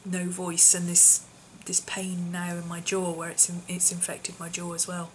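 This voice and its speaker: Husky, hoarse woman chatting in a vlog. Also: fairly low pitch, monotone, normal speed, slight background noise, poor audio. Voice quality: husky, often whispery. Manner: rambling, lots of ums, small laughs. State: hoarse, voice partly lost from infection. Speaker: vlogger.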